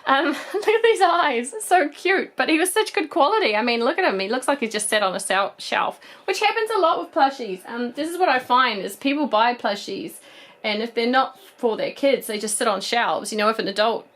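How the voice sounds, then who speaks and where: A woman, casually showing off secondhand plush toys in a chatty, gushing way. Her voice is a bit croaky from a cold. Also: on the high side, animated, normal speed, a bit bright. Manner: chatty, gushing over toys, frequent ums. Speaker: toy collector vlogger. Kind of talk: casual haul show-and-tell.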